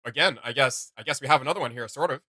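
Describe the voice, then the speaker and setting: A man's voice: medium pitch, racing, sharp tone, dry recording. A man hosting a reaction channel, chatting casually and enthusiastically in slangy fan talk.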